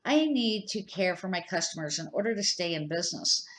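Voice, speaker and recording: A woman's voice: lowish voice, animated, fairly quick, a bit bright. An older woman voicing an earnest, principled male food truck owner in a storybook, explaining his case with emphasis. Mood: firm.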